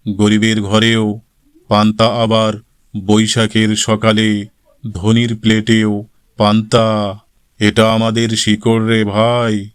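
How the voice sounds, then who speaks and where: Old village grandpa for a cartoon played by a man: affectionately scolding, clean tone, fairly even pitch. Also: clean tone, no echo, clean studio sound. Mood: proud, earnest. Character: old village grandfather, proud, teasing and folksy. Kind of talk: comic cartoon dialogue.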